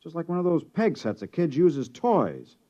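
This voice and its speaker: An actor playing an excitable, astonished biologist on a space survey crew in a 1950s radio drama, exclaiming and halting with surprise. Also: male voice, fairly high pitch. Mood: curious, amazed. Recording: dull vintage radio recording.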